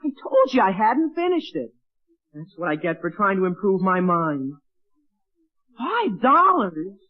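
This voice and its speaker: A man's voice: sits high, wildly swooping pitch, unhurried, exaggerated voice. An actor playing a flustered, whiny teenage boy with a cracking voice in 1940s radio comedy style. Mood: flustered, dismayed. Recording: dull, muffled vintage radio recording.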